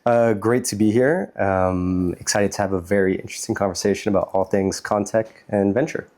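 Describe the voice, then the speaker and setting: Deep-voiced American man being interviewed. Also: fairly even intonation, brisk, darkish tone. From United States, New York City. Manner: explanatory, conversational, frequent "like". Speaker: interview guest, startup chief executive. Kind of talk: casual interview talk.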